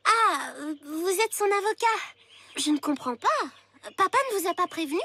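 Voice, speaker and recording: A woman voicing a determined, scheming schoolgirl in a cartoon, earnest and quick with excuses. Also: very high-pitched, lively intonation, pushed and bright, dry recording, heavily acted. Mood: feigned innocence. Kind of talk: French animated series dubbing.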